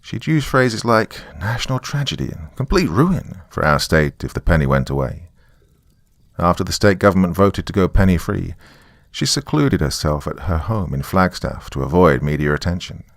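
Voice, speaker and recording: Man playing a deep, gravelly, sardonic storyteller. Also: animated, moderate pace, dry recording. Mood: wry, confiding. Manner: sardonic, dry, confiding. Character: wry, jaded divorced small-town man recording his account. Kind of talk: first-person horror story narration, conversational.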